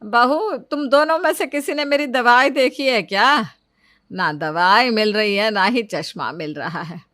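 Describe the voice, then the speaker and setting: A woman's voice: fairly high pitch, a little slow, sharp tone, no room sound, studio quality. A woman voicing an older, fussy, thrifty mother-in-law in a cartoon story, emphatic and matronly. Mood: fussy, doting. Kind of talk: Hindi cartoon story dialogue.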